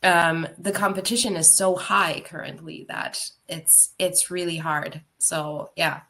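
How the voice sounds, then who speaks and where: A woman's voice: fairly low pitch, little pitch movement, measured pace, gravelly, bright, forceful tone. A Finnish woman who grew up abroad, a podcast guest on a video call, speaking frankly with fillers and light laughs. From Finland. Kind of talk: casual interview chat.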